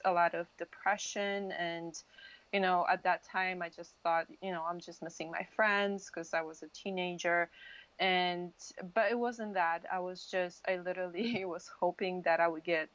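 A woman in her thirties, a native Polish speaker from Poland, telling her story casually and haltingly as an interview guest. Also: fairly low pitch, fairly bright tone. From Poland, moved to US at thirteen. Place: dim indoor room, video call. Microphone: wired earphone mic. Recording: dull lo-fi video call audio.

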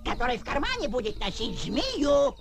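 High, impatient little gnome man. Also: vocal fry, exaggerated voice. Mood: indignant. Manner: brisk, contrary, excitable. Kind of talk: animated film voice acting. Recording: noisy old film soundtrack.

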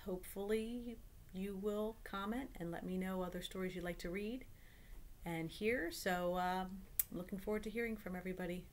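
A woman's voice: mid-range voice, normal speed, slight background noise. A middle-aged woman reads a children's picture book aloud as a storyteller at home. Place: small room at home.

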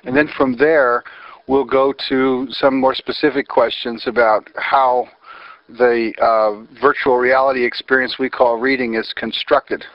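A man's voice: mid-range voice, steady tone, a little slow, no room sound. An American man hosting a phone interview, earnest and wordy with frequent fillers. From United States. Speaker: interviewer, host. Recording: dry, slightly muffled phone recording.

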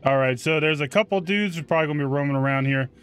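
A man's voice: on the high side, very fast, fairly bright tone, smooth, soft background music. A man giving casual, informal video game commentary with slang and mild swearing. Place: close mic indoors, game music behind.

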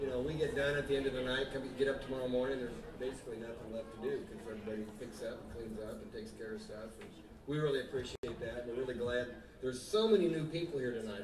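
A man's voice: medium pitch, distant mic. A man hosting a live music venue, making loose, folksy announcements to the audience between sets. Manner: loose, rambling and folksy, with many fillers. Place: small barn-style music venue with audience. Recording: roomy live hall, voice from afar, crowd chatter.

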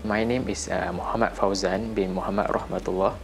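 Low-voiced young Malaysian man. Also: fairly even intonation, gravelly. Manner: halting. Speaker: interviewee, trainee. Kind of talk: interview answers.

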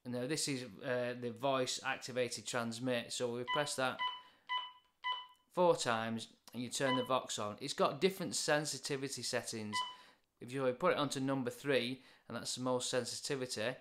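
A man's voice: mid-range voice, steady tone, normal speed, a bit bright, a little hiss or hum. A British man in his forties explains things in a plain, matter-of-fact way, as in an informal product review.

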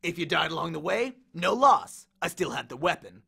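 Guy doing a cocky biker war god bully, gravelly n forceful, slangy taunts, audiobook style. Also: fairly high pitch, very animated, normal speed, full character voice. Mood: smug. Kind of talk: audiobook character voice.

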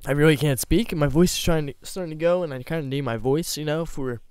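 A young man with a slightly high, smooth yet hoarse-edged voice rambles through casual gameplay commentary into a close desk mic. The sound is dry and close. He mocks himself and breaks into sudden loud laughing bursts. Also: fast talker, dry recording. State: voice going hoarse. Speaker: gaming YouTuber. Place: small room at home.

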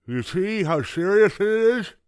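Storybook role: a man doing a sorrowful toothless grandpa, high and strained, slow and mumbled, in a dry booth. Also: lively intonation, darkish tone, dry recording. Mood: moaning. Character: toothless old grandfather, gloomy and suspicious. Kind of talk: storybook character voice.

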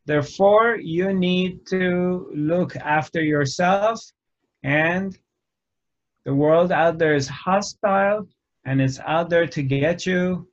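A man in his fifties giving a slow, halting spiritual talk to camera, often restarting his phrases, recorded in a dark indoor room. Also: sits high. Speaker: spiritual teacher. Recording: dull lo-fi sound.

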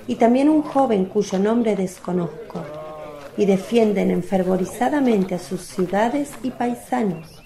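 A woman's voice: mid-range voice, warm tone. A woman reading scripted narration for a radio drama as its narrator.

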